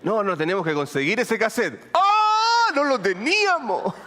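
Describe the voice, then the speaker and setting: A middle-aged man with a Colombian accent, preaching a sermon in an animated, humorous way with asides to the congregation. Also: high voice, measured pace. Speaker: pastor. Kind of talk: sermon with storytelling.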